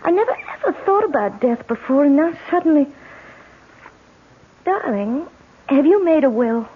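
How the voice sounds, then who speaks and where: A nervous, refined lady in an old radio play. Her highish voice is dramatic and hesitant, with lively swings of pitch. It comes through thin and tinny, with a little noise. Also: normal speed. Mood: anxious, confiding. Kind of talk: 1970s radio drama acting.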